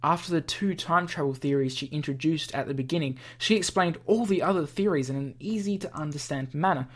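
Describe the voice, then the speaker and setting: A woman's voice: low-pitched, brisk. A woman doing a casual let's play, reading visual novel text aloud and breaking in with chatty comments of her own. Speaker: gaming video host. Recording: game music behind the voice. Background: video game music.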